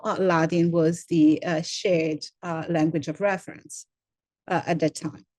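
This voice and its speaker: Italian-accented woman, low voice, halting fillers. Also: warm tone, no echo. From Italy. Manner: frequent "uh" fillers, searching for words. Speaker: program director. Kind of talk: spoken welcome talk. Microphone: computer mic on a video call.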